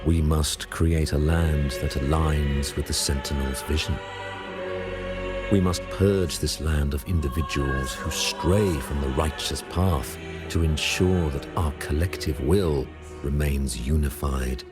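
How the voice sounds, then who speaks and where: An actor voicing a stern, solemn religious ruler in a video game, with formal, ceremonial and pompous oratory. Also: male voice, rumbling low, heavily acted.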